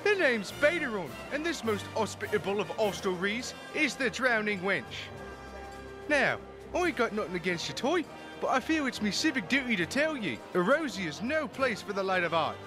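High-voiced, mocking tavern keeper. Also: male voice, very animated, moderate pace, over music. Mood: mocking welcome. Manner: folksy, mocking pirate-style patter. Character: jovial, leering tavern keeper in a port town. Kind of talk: fantasy game fan dub, theatrical.

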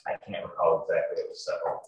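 A man's voice: rapid-fire, highs cut off. A young man testifying as a police officer in court, formal, terse and matter-of-fact in procedural phrasing. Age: young adult. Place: courtroom, heard over a video call. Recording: distant, echoey, faintly noisy courtroom video call.

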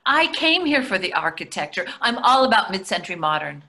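A woman's voice: medium pitch, very animated, a little fast, sharp tone. A middle-aged woman playing an eager-to-please empty-nester wife in a comedy play reading, chatty and placating. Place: indoors on a video call. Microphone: video call microphone.

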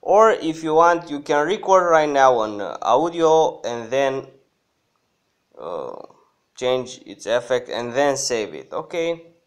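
Slow-talking man walking through an app. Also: medium pitch, big pitch swings. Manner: halting, hesitant. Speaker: app review host. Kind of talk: casual screen-recorded app tutorial.

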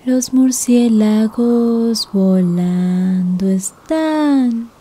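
Eerie vampire temptress, played by a woman, fan-dubbed game trailer: high, very slow, breathy and taunting. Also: dark tone. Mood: eerie, haunting. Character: eerie, seductive female vampire singing a dark lullaby. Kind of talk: fan dub acting, slow lullaby singing.

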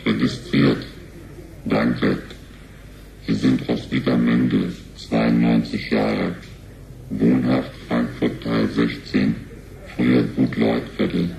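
A man's voice: rumbling low, flat delivery, takes it slowly, gravelly, a little mellow, exaggerated voice. A man playing an emotionless bank terminal machine in a sci-fi radio drama, announcing in a clipped, formal way. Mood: flat, official. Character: slow, monotone bank terminal machine, very deep. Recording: echoey with faint noise.

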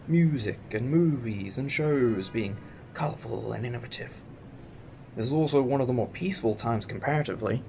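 A man's voice: medium pitch, creaky, darkish tone, a little hiss or hum. A British man playing an eccentric, enthusiastic alien time traveller in a fan audio drama, musing like a professor. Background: music.

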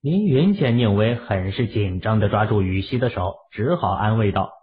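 A man's voice: on the low side, lively intonation, normal speed. A man narrating a novel as a scripted, dramatic audiobook reading. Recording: tinny, narrow-band phone-like audio.

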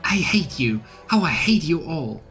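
A man's voice: fairly high pitch, very animated, a little slow, fairly bright tone, soft background music, pitched above the performer's own voice. A man voicing an anguished, furious female villain from a fantasy game, spiteful and hysterical. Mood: hysterical hatred. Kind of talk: voiced reading of game dialogue.

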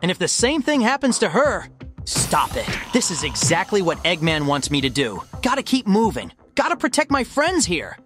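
A man's voice: high voice, wildly swooping pitch, moderate pace, a bit bright, heavily acted. A man playing a young heroic hedgehog, cocky but worried, in a dramatic comic dub with casual, earnest delivery. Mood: determined. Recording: music behind the voice.

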